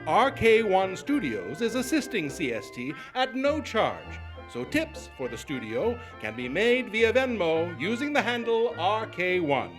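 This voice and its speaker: A man playing an old-time radio show announcer, reading sponsor lines with a booming showy pitch. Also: big pitch swings, unhurried, hardly any pauses, over music.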